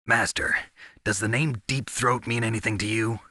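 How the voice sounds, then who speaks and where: An actor playing a gruff, laconic veteran soldier in a video game, with a gravelly, husky voice and a terse, serious delivery. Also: male voice, on the low side, steady tone, moderate pace, bright, forceful tone, no echo, clean studio sound. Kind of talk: video game radio dialogue.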